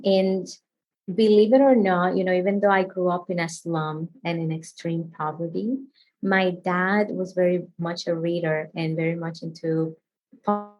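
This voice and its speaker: A woman's voice: fairly low pitch, slight background noise, low-quality recording. Chatty woman. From Dominican Republic. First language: Spanish. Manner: chatty and expressive, laughs often. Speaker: author guest. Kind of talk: casual interview answers.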